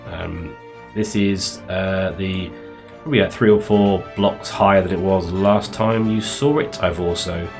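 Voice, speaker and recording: A man a little croaky from being sick, rambling casually through commentary on his game build with many ums and restarts. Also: low voice. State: slightly croaky from illness. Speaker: Minecraft let's play host.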